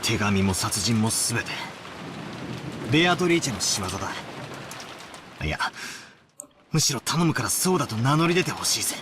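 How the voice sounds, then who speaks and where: An actor playing a brash, defiant young man in a Japanese visual novel, with rough, slangy, emphatic speech. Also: on the low side, fast talker, pauses often. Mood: exasperated, pleading. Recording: steady rain noise behind. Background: rain.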